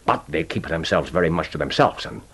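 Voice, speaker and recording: An actor playing a jovial, ruthless spymaster with an expansive, commanding, teasing manner in a radio drama. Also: male voice, rumbling low, brisk, a bit bright, slightly rough, heavily acted. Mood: informative. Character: jovial, ruthless Japanese male spymaster briefing an agent. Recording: orchestral film score behind the voice.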